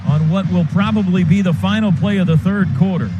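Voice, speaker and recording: A man doing live radio play-by-play of a football game, quick clipped calls that build to shouts on big plays. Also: high-pitched, over loud music, low-quality recording. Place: stadium radio booth. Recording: radio broadcast with loud crowd noise.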